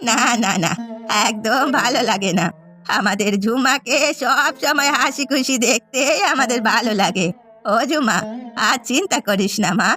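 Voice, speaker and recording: Cartoon grandmother, voiced by a woman: elderly, kindly, gentle, drawn-out village speech, bright tone. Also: a little high, soft background music. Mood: comforting. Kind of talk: Bengali cartoon story voice acting.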